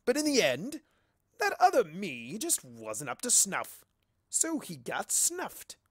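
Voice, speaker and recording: A man's voice: high voice, huge pitch swings, slow, slightly rough, dry recording, voice pushed up, heavily acted. Man as a smug, drawling trickster monster. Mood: smug, teasing. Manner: sardonic, drawling, theatrical. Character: mocking, mischievous male chaos monster, showy trickster villain. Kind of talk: cartoon fan fiction character reading.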